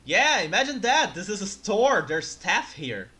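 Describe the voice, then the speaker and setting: Excitable male streamer. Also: high voice, a little slow. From Brazil. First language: Portuguese. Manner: excitable, flustered, chatty. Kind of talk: live game commentary and chat.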